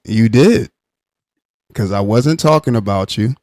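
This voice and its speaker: A man's voice: lowish voice, expressive, a little slow, a little mellow, dry recording. A man hosting a live stream panel, firmly and insistently standing his ground in an argument and repeating his points. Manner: firm, insistent, repeats himself.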